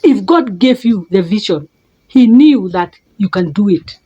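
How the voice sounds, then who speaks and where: A middle-aged Kenyan woman with a Kenyan accent, giving an emphatic motivational talk as a life coach, repeating words and leaping up in pitch for stress. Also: expressive, measured pace, dark tone, slightly rough, dull audio. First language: a Kenyan mother tongue.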